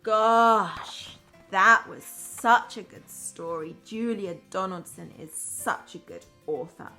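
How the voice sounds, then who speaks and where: A woman's voice: medium pitch, takes it slowly, sharp tone, faint music behind. A woman primary teacher reading a storybook aloud to young children, expressively, with simple chatty asides.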